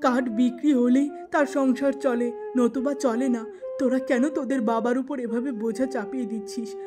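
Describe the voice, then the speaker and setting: A woman's voice: on the high side, steady tone, moderate pace, music in the background, close to an everyday voice. A woman voicing a poor, weary middle-aged father in a cartoon moral tale, pleading and plaintive. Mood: hurt.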